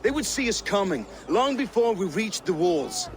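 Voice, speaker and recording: An actor playing a brooding, doubtful Danish warrior in a video game, gravelly and wry. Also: male voice, high-pitched, sing-song, normal speed. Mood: skeptical. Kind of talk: video game drama acting. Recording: clear outdoor game ambience noise.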